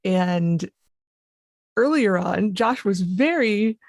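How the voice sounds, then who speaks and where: A woman's voice: mid-range voice, animated, drawn-out words, darkish tone, dry recording, clean studio sound. A woman co-hosting a podcast, chatting casually and rambling with fillers. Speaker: podcast co-host.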